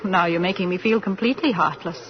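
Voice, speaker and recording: A woman playing a no-nonsense, guarded working mother in a 1940s radio drama, brisk, polite and firm. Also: fairly low pitch, lightly acted. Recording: muffled vintage 1940s radio broadcast.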